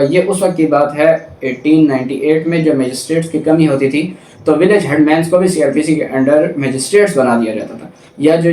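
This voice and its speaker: Pakistani man, fairly high-voiced teacher type, explains and reiterates points, recorded in a very roomy space. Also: a little mellow. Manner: didactic, repeats points for emphasis. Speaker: law lecturer. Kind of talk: explanatory lecture, reading statutes and explaining them. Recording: roomy echo, distant mic, faint noise.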